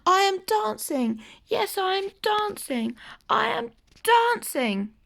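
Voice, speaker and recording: A woman voicing a shy, clumsy, tender-hearted male giraffe in a storybook, earnest and expressive. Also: extremely high pitch, slow, gravelly, voice pushed up, exaggerated voice. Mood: elated.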